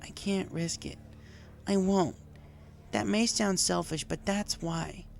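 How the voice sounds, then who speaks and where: A man voicing a brash, emotionally torn young female cartoon pony with a strained high voice in a storybook reading. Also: fairly even intonation, slow pace, gravelly, a little mellow, soft background music, exaggerated voice. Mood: earnest, torn.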